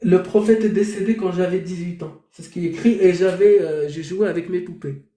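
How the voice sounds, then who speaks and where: A high-pitched man with a mellow, soft-edged tone argues his case on a live debate stream. He fires rhetorical questions and then answers them himself. The room around him rings with echo. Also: steady tone, fairly quick. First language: Arabic. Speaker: debate host.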